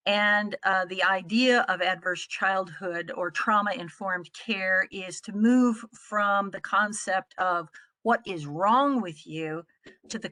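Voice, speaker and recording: A middle-aged American woman from the Midwest giving an explanatory presentation as a trainer, speaking in a measured, articulate way. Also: mid-range voice, sharp tone, dry recording. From Midwestern United States. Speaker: trainer presenting on a conference call.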